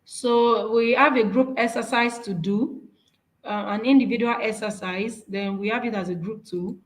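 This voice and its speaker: A woman's voice: on the high side, fairly even intonation, normal speed, reverberant, low-quality recording. A woman with a Nigerian accent, whose first language is Yoruba, giving an earnest, encouraging workshop talk with slides, often restarting her phrases. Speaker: speaker.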